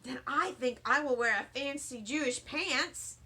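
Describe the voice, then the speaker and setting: A matter-of-fact little boy from a children's story, acted out by a woman. He is earnest and slightly hesitant, with a bright, childlike sound. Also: high-pitched, measured pace, husky, full character voice. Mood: eager.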